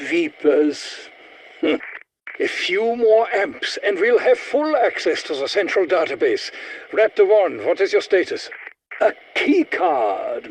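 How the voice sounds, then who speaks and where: An eccentric scientist for a video game. His voice sits fairly high and swings widely in pitch. He speaks slowly and precisely, with pompous, sardonic, squeamish theatricality. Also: creaky, a little hiss or hum, heavily acted. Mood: pleased, playful. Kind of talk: video game character voice lines.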